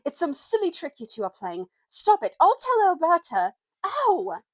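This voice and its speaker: A woman's voice: huge pitch swings, moderate pace, no room sound, heavily acted. Whiny schoolboy acted by a woman, peevish, needling, bad-tempered, storybook character, dry close sound. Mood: frightened, angry. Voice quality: squeaky when frightened.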